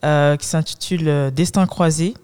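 A woman's voice: low-pitched, steady tone, moderate pace, darkish tone. A woman hosting a casual podcast interview, asking questions in an enthusiastic, complimentary way with some hesitant restarts. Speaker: podcast host.

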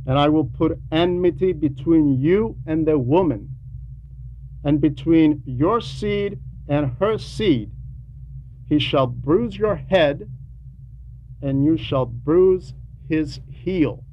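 Slow-speaking man preaching, muffled noisy recording. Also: a little high, lively intonation. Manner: deliberate, emphatic repetition, explanatory. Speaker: preacher reading a translated homily. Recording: dull lo-fi sound with background noise.

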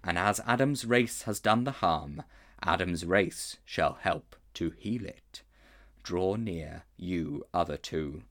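A man voicing a great lion who speaks slowly, low and deep, with a hint of a growl. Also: fairly bright tone, heavily acted. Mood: grave. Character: vast solemn male lion, grave and commanding.

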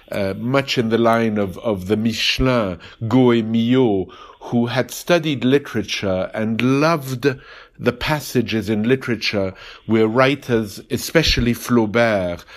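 A man's voice: medium pitch, slow pace. A man hosting an interview podcast, asking long, meandering, thoughtful questions. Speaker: podcast host. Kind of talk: interview on a phone call.

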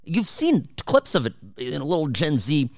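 A man's voice: a little high, big pitch swings, measured pace, dry recording, clean studio sound. A man hosting a talk radio show, delivering an opinionated, emphatic and conversational monologue. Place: radio studio.

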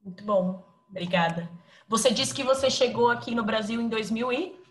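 A woman's voice: on the high side, moderate pace. A woman hosting a podcast, asking a guest friendly interview questions. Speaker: podcast host.

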